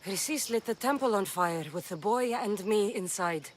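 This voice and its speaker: A woman's voice: medium pitch, normal speed, slight background noise, close to an everyday voice. A woman playing a fierce, defiant young mercenary in a video game, blunt and intense. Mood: accusing. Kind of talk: dramatic video game voice acting.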